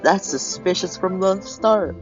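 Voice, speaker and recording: A man's voice: high voice, a little slow. A man giving casual, informal gameplay commentary as he plays through a game. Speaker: gamer. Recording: muffled audio over game music.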